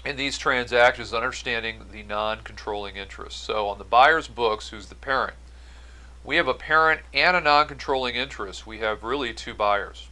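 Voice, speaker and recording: A man's voice: medium pitch, moderate pace, pushed and bright, low-quality recording. A man giving an explanatory accounting-style lecture as an instructor, going step by step and stressing key phrases. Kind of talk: explanatory lecture over on-screen notes.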